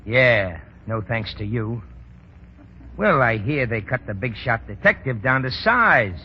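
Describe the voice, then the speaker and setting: A man's voice: medium pitch, big pitch swings, measured pace, slightly rough. A man playing a sneering, vengeful American ex-convict in 1930s radio style, slangy and taunting. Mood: mocking. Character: sneering, vengeful male ex-convict thug, mocking. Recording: dull lo-fi 1930s radio recording.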